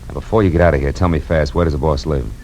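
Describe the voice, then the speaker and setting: An American actor playing a tough, wisecracking private detective in a 1940s radio noir drama, with a terse, wry delivery. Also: male voice, extremely low pitch, racing, darkish tone. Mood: urgent, hushed. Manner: hard-boiled, terse, wry. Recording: muffled old radio recording, music behind.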